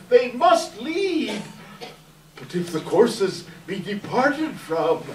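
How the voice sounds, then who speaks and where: In a roomy, echoing hall, picked up from a distance, a frightened old miser played by a man pleads with a spirit. He speaks slowly and deliberately, imploring as in a staged reading. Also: very high voice, exaggerated voice. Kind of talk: readers theater performance. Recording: echoey hall, far from mic.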